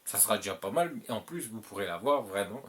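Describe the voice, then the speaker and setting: French-speaking male host, low voice, casual rambling advice with fillers, faint background noise. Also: animated, quick pace, fairly bright tone. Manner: rambling, repeats himself, many filler "euh"s. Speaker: channel host giving advice.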